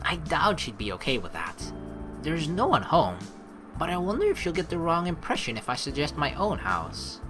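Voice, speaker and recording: A woman's voice: low-pitched, sing-song, normal speed. A woman let's player reading game text aloud expressively, mixed with casual commentary. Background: game music.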